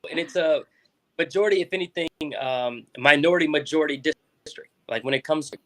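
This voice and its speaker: A man's voice: on the high side, a little fast, fairly bright tone. Rambling man on a talk show. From South Florida. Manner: rambling, many restarts and fillers. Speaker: school board member guest. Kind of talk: casual interview talk.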